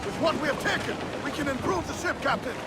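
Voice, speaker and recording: A man's voice: very high-pitched, animated, fast. An actor playing an alert pirate crewman calling out to his captain in a video game. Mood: eager. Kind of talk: video game cutscene acting. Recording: loud sea and wind noise.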